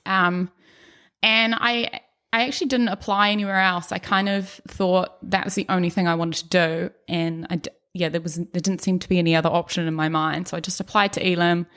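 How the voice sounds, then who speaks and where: A woman's voice: on the low side, fairly quick, clean tone. A young woman, a guest artist on a podcast, talking casually about her life and scattering her speech with "um" and "yeah". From New Zealand, born in England. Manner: relaxed and chatty, full of fillers. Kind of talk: casual interview chat.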